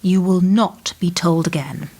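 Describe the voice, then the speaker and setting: A woman acting in a radio play as a cool, controlled government minder who speaks in a measured, formal, insinuating way. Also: on the low side, a little mellow. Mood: cold menace.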